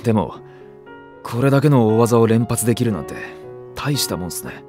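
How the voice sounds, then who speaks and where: A man's voice: mid-range voice, lively intonation, fairly quick, over music, lightly acted. An actor playing a lazy, blunt young male nursery teacher who talks in a curt, casual way. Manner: curt, casual and a bit sulky. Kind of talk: anime voice drama acting.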